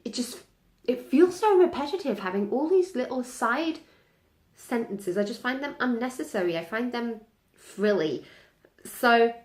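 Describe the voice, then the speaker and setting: A woman's voice: on the high side, animated, a little slow. Chatty German-native woman, roomy sound. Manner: chatty, many ums and restarts, light laughs. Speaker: book vlogger. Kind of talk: casual monthly reading recap.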